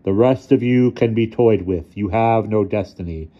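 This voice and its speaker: A man voicing a tall, robed, theatrically menacing stranger who makes slow, grand pronouncements in an audiobook. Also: on the low side, highs cut off, lightly acted. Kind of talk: audiobook character voice.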